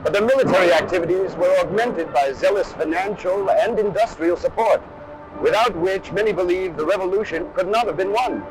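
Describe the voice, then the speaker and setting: A man's voice: sits high, fairly quick, rough recording. A man giving scripted, dramatic documentary narration on a harsh, over-modulated old film soundtrack. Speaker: presenter of an army training film.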